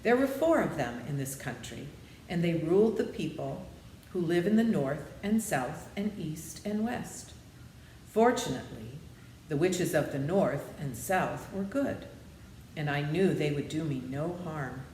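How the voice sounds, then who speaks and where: A woman's voice: low-pitched, animated, darkish tone. An old humbug wizard from a storybook, read by a woman, explains himself meekly and kindly. The echoey recording has faint noise behind it. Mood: confiding, explanatory. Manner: meek, explanatory, measured.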